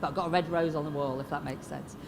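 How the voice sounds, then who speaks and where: A woman in her fifties with a Yorkshire accent, giving a blunt, lively motivational talk to a live audience. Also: deep voice, fast talker, creaky, noisy background. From Lancashire, England. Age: 50s. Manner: lively, blunt and swearing, asks the crowd questions. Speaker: motivational speaker. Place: expo stage before a live audience.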